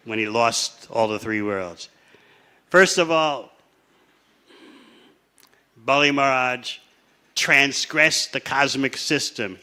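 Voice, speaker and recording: A man teaching a scripture class, telling the story in a measured way and laying out his points one by one. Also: mid-range voice, sharp tone. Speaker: spiritual teacher.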